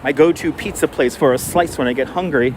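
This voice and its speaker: A man with a mild New York accent, his voice sitting a little on the high side, chats with his viewers as he walks outdoors. He thinks aloud and often restarts his sentences. Faint city traffic hums behind his clip-on mic. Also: fairly quick, a little mellow. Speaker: live stream host. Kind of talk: casual walking live stream, chatting with viewers. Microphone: wireless clip-on mic. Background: faint street traffic.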